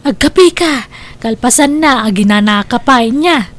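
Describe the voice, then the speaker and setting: Slow, high-voiced Ilocano woman acting a scheming mother. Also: expressive. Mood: coaxing, flustered. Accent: Ilocano Filipino. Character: scheming, guilt-ridden mother stalling her son. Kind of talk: Ilocano audio drama acting. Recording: music under the voice.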